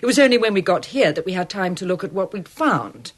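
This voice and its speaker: An actress playing a chatty young museum clerk in a radio drama, with an eager manner. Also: female voice, mid-range voice, expressive, fast, close to an everyday voice.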